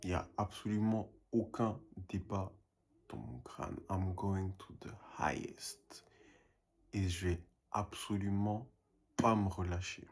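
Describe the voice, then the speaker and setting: Husky low-voiced young man. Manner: self-motivating, rambling, drops English phrases. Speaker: painter. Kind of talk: casual vlog monologue.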